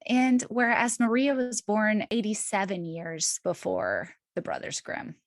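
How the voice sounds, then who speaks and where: A woman's voice: medium pitch, expressive, unhurried, no room sound. Chatty, emphatic woman podcaster, dry close sound. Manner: informal, chatty, emphatic with repetition. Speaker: podcast co-host. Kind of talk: casual storytelling chat.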